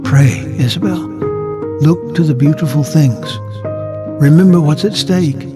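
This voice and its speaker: An actor playing a wise, devout old grandfather in an audio drama, speaking earnestly and tenderly in measured phrases. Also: a little high, lightly acted. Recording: music under the voice.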